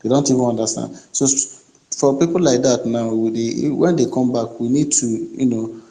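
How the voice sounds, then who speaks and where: Mellow Nigerian man, echoey room. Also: mid-range voice. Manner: halting, searching for words. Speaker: contributor in a group discussion. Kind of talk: informal opinion-giving. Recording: echoey room on online call.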